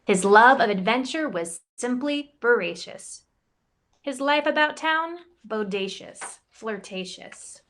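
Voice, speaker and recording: A woman who directs campus ministry reads a rhyming picture book aloud, playful and expressive, giving the quoted lines a voice of their own. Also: fairly high pitch, slow pace. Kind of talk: storybook read-aloud in rhyme.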